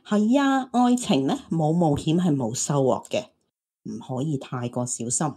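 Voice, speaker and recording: A woman's voice: low voice, animated, measured pace, mellow, breathy edge, exaggerated voice. An actress playing a hopeful, romantic single woman in her thirties in an audio drama, teasing and exclaiming. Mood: teasing, nosy. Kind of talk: Cantonese audio drama acting.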